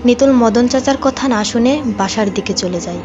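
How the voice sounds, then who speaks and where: A woman's voice: fairly high pitch, moderate pace. A woman reading scripted narration for a cartoon folk tale. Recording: dull audio under loud music.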